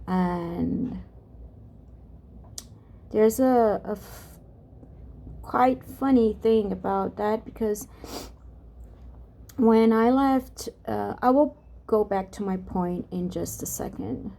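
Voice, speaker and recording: A woman from Brazil, a native Portuguese speaker, talking in a soft-spoken, rambling vlog, halting with many restarts and fillers. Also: medium pitch, gravelly. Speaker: ASMR vlogger.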